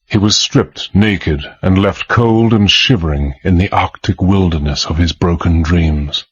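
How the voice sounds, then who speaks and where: An actor playing a reflective adventurer, giving measured first-person narration in a radio drama. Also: male voice, low voice, husky, no echo, dull audio. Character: reflective male adventurer recalling his past.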